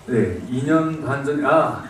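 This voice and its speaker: A man from Busan, a film actor fielding audience questions with easy laughs and halting pauses. He is heard from a distance across a large, echoey cinema hall. A steady noise sits under his voice. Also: medium pitch. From Busan, South Korea. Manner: relaxed, laughs often, hesitant pauses. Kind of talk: casual answers to audience questions.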